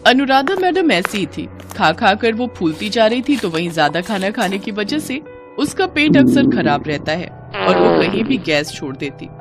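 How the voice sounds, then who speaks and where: Expressive woman storyteller with lively pitch. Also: normal speed, vocal fry, loud music behind the voice. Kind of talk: scripted animated moral story narration.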